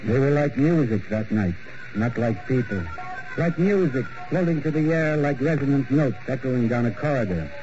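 An actor playing a wistful storyteller, giving lyrical, portentous 1940s radio drama narration. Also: male voice, mid-range voice, animated, moderate pace, close to an everyday voice. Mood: reverent, foreboding. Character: wistful male storyteller in a mystery tale. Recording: muffled old radio recording. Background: clear music.

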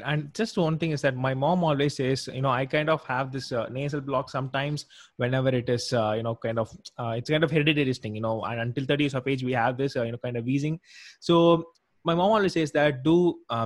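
A man's voice: medium pitch, quick pace, no echo. A young man hosting a podcast casually, chatty, with many fillers and frequent affirmations. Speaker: podcast host. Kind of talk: casual interview.

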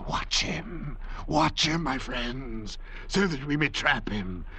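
A man playing an arrogant, intellectual doctor cast away at sea in old radio drama style, lecturing theatrically in a gravelly voice. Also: medium pitch, expressive, takes it slowly, bright, forceful tone, exaggerated voice. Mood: fervent. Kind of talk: 1940s radio drama acting. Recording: old radio recording with faint hiss.

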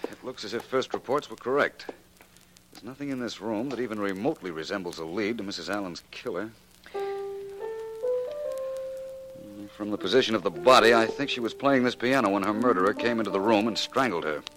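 Suave low-voiced radio detective played by a man. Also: quick pace, natural voice. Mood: pensive. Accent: American. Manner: urbane, flowery wording, teasing. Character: suave, witty, self-assured gentleman amateur detective. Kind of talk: 1940s American radio drama acting. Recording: noisy, clicking 1940s radio recording.

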